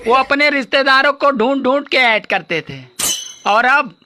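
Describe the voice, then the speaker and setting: A woman's voice: sits high, lively intonation, normal speed, bright, forceful tone, slight background noise. A woman delivering scripted comic jokes and skit lines in a conversational performing style.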